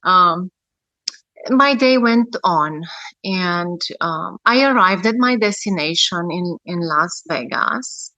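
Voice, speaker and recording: Woman, 30s, podcast guest; slow, reflective storytelling with frequent pauses, bright tone. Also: lowish voice, expressive, no room sound, rough recording. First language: Romanian. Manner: reflective, with many pauses and fillers. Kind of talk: personal storytelling.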